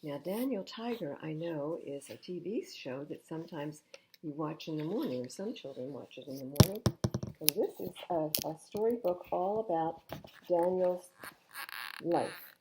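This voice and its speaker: An older woman hosts a children's storytime. She reads with emphasis and wide, lively swings in pitch, her voice a little low, and adds chatty asides for young listeners. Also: moderate pace, very noisy. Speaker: library storytime reader.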